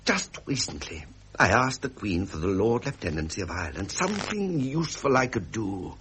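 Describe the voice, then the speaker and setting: An actor with a British accent playing a self-pitying, pleasure-loving prince in a period drama. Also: male voice, on the low side, big pitch swings, normal speed, a bit bright, slight background noise. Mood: discontented.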